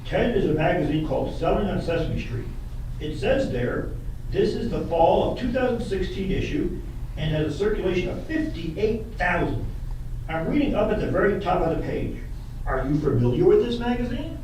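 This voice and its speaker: A man's voice: sits high, sing-song, moderate pace, darkish tone. A man playing an insistent, pedantic attorney who repeats questions in a puppet courtroom cross-examination. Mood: formal. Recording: echoey room, distant mic, faint noise.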